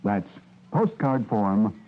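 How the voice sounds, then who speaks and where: A man reading a public service announcement in a steady, informative manner. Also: on the low side, takes it slowly. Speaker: advertisement announcer. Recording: tinny old radio broadcast.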